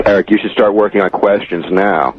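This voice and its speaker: A man's voice: on the low side, fairly even intonation, fairly quick, background noise. An American man as a radio sidekick, needling in casual comedic banter. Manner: mocking, needling. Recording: muffled radio recording.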